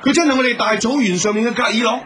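A man's voice: extremely high pitch, racing, music in the background. A man acting a proud, confident young champion horseman in a radio drama, with a Hong Kong Cantonese accent. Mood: assured. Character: proud young male Mongolian champion horseman and royal guard.

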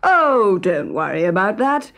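A woman's voice: medium pitch, sing-song, measured pace, vocal fry, a bit bright, heavily acted. A woman voicing a friendly, effusively polite sun who hosts guests in a children's storybook. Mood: friendly, encouraging. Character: friendly, hospitable husband sun, a genial host.

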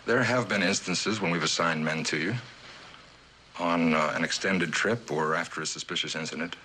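Deep, dutiful security agent. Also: male voice, normal speed, pushed and bright, rough recording. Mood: matter-of-fact. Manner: deferential, matter-of-fact, formal. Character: polite, dutiful male government security agent. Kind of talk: mid-century American suspense drama acting. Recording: old broadcast with faint noise.

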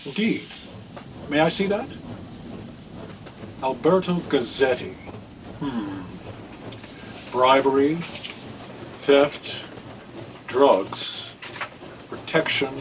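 An older man acting in a radio drama as an anxious, grave reverend with a deliberate, formal way of talking. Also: medium pitch, expressive. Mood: pensive. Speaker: radio play actor. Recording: distant, noisy video call audio.

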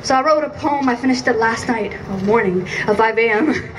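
A woman's voice: on the high side, a little fast. Young woman poet at an outdoor rally. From Iraq. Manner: intense, rhythmic, emphatic delivery. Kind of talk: spoken word poetry at a rally. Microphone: handheld mic through PA, filmed from a distance. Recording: distant outdoor PA with faint noise.

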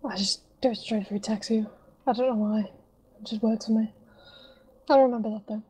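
A woman with a naturally hoarse voice chatting casually on voice chat, relaxed and swearing now and then. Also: a little high, normal speed. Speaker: voice chat participant.

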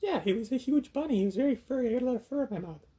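A man voicing a silly, greedy, self-important furry puppet with a naive, boastful, excitable manner. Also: extremely high pitch, rapid-fire, breathy edge, pitched above the performer's own voice, heavily acted. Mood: gleeful. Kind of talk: puppet show banter.

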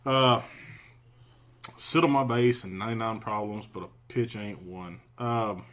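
Lowish-voiced guy recapping games, lots of uh, dry teasing, small home studio. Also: steady tone, unhurried, a bit bright. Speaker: podcast co-host. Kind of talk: casual chat recapping games.

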